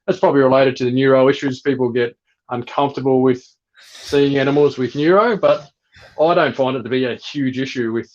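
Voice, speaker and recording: Man, medium-pitched voice, casual unscripted chat, hesitant, matter-of-fact, slightly dull lo-fi recording. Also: steady tone, a little fast. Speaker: reptile breeder.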